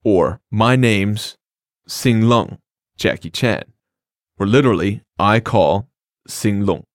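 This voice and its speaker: A man's voice: on the low side, takes it slowly, no echo. A man co-hosting a scripted language lesson, explaining phrases in an upbeat, plain way. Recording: dry studio recording.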